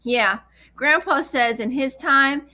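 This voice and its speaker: A woman's voice: high voice, slow pace, pushed and bright, full character voice. A woman voicing an eager, earnest young boy adventurer in a children's storybook.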